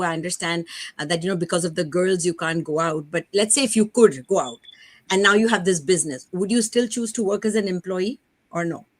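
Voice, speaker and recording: Woman hosting a video call chat, soft and rambly, kinda low voice. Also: dry recording. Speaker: host. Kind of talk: casual video call interview.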